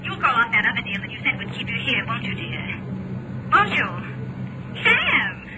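An eager, affectionate wife in a vintage radio play. Her voice sits high and comes through a dull, lo-fi broadcast with a faint hiss. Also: very animated, normal speed. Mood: pleading. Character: devoted wife, middle-aged woman. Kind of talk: 1950s radio drama acting. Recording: lo-fi old radio recording.

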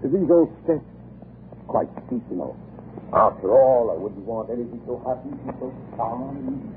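An actor playing a gallant, gushing, infatuated middle-aged gentleman in a 1930s radio drama. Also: mid-range voice, expressive, moderate pace, lightly acted. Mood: charmed. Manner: gallant, gushing, repeats himself. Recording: scratchy, tinny 1930s radio recording.

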